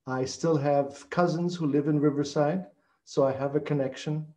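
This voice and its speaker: A man in his sixties from Chicago with a slight Austrian accent, chatting in a relaxed, unhurried way on a video call. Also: fairly high pitch. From Chicago, United States. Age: 60s. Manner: relaxed, unhurried, with frequent ums. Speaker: alumnus guest. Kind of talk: casual video call chat. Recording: dull lo-fi video call audio.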